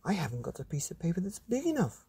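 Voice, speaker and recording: A nonchalant, secretive young storybook boy, voiced by a grown man, matter-of-fact in manner. The voice is husky and creaky with lively pitch. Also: fast talker, dry recording, full character voice.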